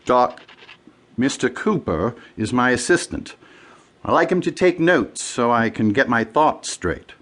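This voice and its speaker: An American deputy district attorney in a radio drama. His medium-pitched voice rises and falls in a lively way as he probes politely and cautiously. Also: measured pace. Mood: placating. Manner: polite, probing, official.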